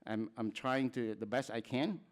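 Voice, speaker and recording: Smooth-voiced man from Cambodia, halting. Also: medium pitch. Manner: plain, halting talk with frequent restarts and short laughs. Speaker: conference speaker. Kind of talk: personal testimony at a conference.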